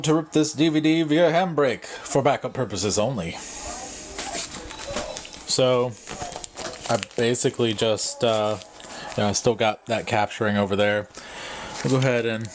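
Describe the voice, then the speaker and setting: A man in his thirties giving a casual vlog demonstration, unhurried and offhand as he thinks aloud. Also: mid-range voice. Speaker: vlogger. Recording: clear background noise, clicks.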